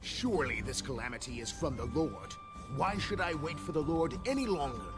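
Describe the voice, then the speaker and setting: A man's voice: on the high side, lively intonation, moderate pace, music in the background, natural voice. An actor playing a vehement, despairing king in an audio Bible drama. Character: angry, despairing king of a besieged city.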